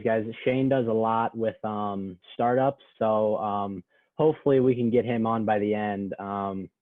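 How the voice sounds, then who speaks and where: A man moderating an online panel in a relaxed, casual way, heard through a tinny video-call connection. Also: on the low side, no room sound. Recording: tinny dry phone-like call audio.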